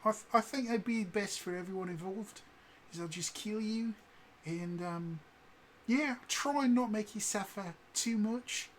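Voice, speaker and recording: A man's voice: high voice, a little slow, fairly bright tone, slight background noise, pitched above the performer's own voice. A man voicing a giant man-eating fungus creature with an exaggerated New Zealand accent, politely hesitant and matter-of-fact about its menace. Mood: politely murderous. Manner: hesitant, casually polite while threatening.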